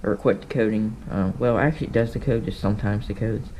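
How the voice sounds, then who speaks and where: A man's voice: lowish voice, quick pace, a little mellow. A man giving a casual, unscripted show-and-tell as a hobbyist, rambling offhandedly and often correcting himself. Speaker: hobbyist showing a radio collection. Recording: noisy handheld camera audio with clicks.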